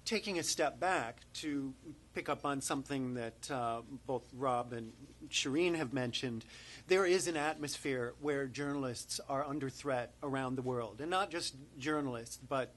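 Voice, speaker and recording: A man answering reporters at a press conference, emphatic and halting with frequent fillers. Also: mid-range voice, fairly bright tone. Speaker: human rights advocate at press conference. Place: press briefing room.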